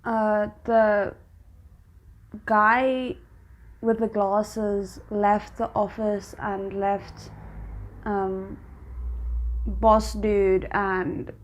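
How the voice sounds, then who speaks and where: A woman giving casual, emotionally invested reaction commentary as she watches a drama, exclaiming and thinking aloud. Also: medium pitch. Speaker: reaction video host.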